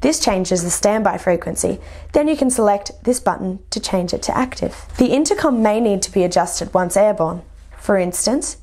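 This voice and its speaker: A woman's voice: mid-range voice, a little mellow. Young Australian woman presenting a lesson. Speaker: flight instructor presenting a lesson. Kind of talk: scripted instructional narration.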